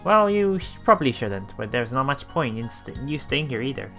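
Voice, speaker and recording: A man's voice: medium pitch, very animated, vocal fry, a bit bright. Man reading a visual novel aloud over music. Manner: halting, chuckles through his reading. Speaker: let's play streamer. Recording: voice over game background music.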